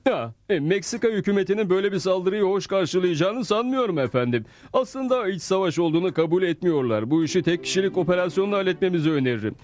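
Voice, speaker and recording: A man's voice: high voice, expressive, fast, few pauses, a little mellow. A man voicing an obsequious, fussy presidential aide in a dubbed cartoon, eager and deferential. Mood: eager deference.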